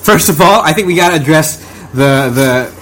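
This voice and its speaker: A man's voice: a little high, expressive, normal speed, a bit bright, slight background noise. A man hosting a podcast, leading the questions in casual chat with a teasing, joking manner. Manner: teasing, jokey interviewer who leads the questions. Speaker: podcast host.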